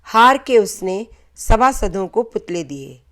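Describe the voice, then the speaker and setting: A woman narrates a scripted folktale with a moral. Her delivery is very unhurried, broken into short, deliberate phrases. Her voice sits at an average pitch for a woman. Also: very animated, a bit bright. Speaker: storyteller.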